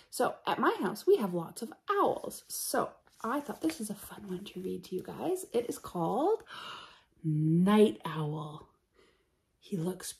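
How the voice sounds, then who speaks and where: A middle-aged woman from Canada reading a picture book aloud, expressively addressing children as story-time host. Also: on the high side.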